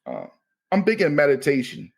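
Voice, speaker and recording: A man's voice: on the high side, wildly swooping pitch, moderate pace, gravelly, slightly rough. A man in his thirties from Maryland, a comedian guest talking casually and loosely, often saying "you know what I mean.". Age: 30s. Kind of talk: casual podcast chat.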